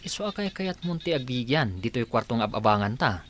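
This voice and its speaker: Actor for an easygoing co-worker who jokes around; mid-pitched voice. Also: male voice, big pitch swings, a little fast, a bit bright, slight background noise. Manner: easygoing, joking. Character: friendly male co-worker abroad. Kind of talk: amateur radio drama acting.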